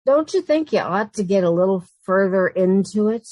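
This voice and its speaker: A woman's voice: medium pitch, lively intonation, normal speed, a little mellow, no echo, lightly acted. A woman playing an anxious, sardonic young roommate who also narrates, in an old-time radio sitcom style. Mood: nervous, exasperated. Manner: wry, long-suffering, nervous.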